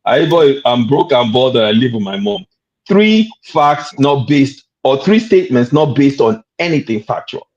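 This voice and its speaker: A man in a heated panel debate, his pitch swinging lively as he pushes his point. He keeps cutting in to claim the floor, and the sound is dull and lo-fi like a call. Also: moderate pace. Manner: argumentative, keeps interjecting to hold the floor. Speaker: panel guest.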